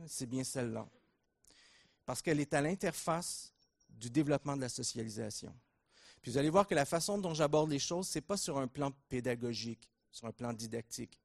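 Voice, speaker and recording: A middle-aged man with a Québécois accent giving a conference lecture, relaxed and joking, with many fillers and restarts. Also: on the high side. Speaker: invited lecturer at a training conference.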